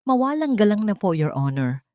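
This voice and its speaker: Woman doing a calm but cutting male lawyer in a story, formal n firm, dry sound. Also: medium pitch, no room sound, voice pushed up, natural voice. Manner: formal, firm, deliberate courtroom address. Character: calm, sharp-edged male lawyer arguing in court.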